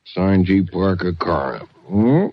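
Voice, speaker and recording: A man's voice: low voice, very animated, a little slow, no echo, full character voice. A man playing a plodding, homespun Texas sheriff in an old radio drama, with a hesitant, folksy manner. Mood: official, reading. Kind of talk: 1950s radio drama acting. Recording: dull old radio recording.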